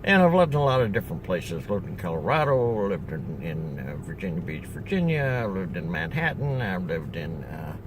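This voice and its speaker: Chatty older man, rambling, deep-voiced. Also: sing-song, moderate pace, hardly any pauses, noisy background. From upstate New York. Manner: rambling, chatty, frequent fillers and restarts. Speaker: solar salesman. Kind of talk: casual self-introduction video.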